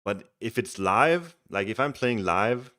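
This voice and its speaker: A Danish-accented man with a deep voice talks loosely through a live stream, explaining strategy as he plays. He is relaxed and chatty and often restarts his words. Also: a little slow. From Denmark. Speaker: streamer and game coach. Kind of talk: casual live-stream chat and game commentary.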